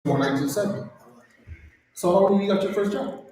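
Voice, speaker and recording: Man in his 40s, animated, slangy, storytelling, echoey, distant mic. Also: high-pitched, fast talker, a little hiss or hum. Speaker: host. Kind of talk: casual chat. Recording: echoey room, far from mic.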